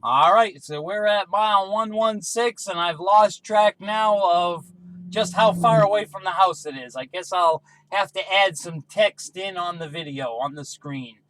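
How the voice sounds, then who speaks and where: A man's voice: high-pitched, unhurried, a bit bright, slight background noise, low-quality recording. A man making casual radio calls, repeating call signs and numbers as a hobbyist would. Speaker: CB radio hobbyist running a range test.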